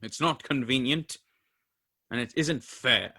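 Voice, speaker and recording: A man's voice: medium pitch, slow, pushed and bright, husky, exaggerated voice. A man voicing a stingy old male employer in a radio play, curt and grumbling. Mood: grumpy.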